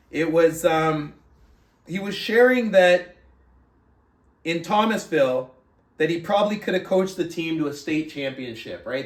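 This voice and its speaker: Man, fairly high voice, earnest motivational host, conversational, reading aloud, echoey room, distant mic. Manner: earnest, conversational, rhetorical check-ins like "right?". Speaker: book club host, motivational reader. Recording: roomy echo, distant mic.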